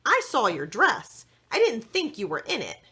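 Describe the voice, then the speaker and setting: A woman's voice: fairly high pitch, wildly swooping pitch, moderate pace, bright, forceful tone, voice pushed up, heavily acted. A woman voicing a curious, observant, mild-mannered young princess in a children's storybook. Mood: friendly. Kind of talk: children's storybook read-aloud.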